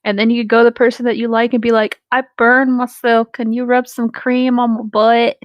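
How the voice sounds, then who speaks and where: Female casual talker, higher-pitched, frank and profane storyteller, dry recording. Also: normal speed, no room sound, muffled recording. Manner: candid, animated storytelling with swearing. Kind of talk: casual chat among friends.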